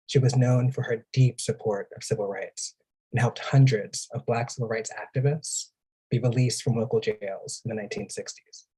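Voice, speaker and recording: A man's voice: medium pitch, dark tone, husky, poor audio. A man reading a scripted biographical narration at a literary event.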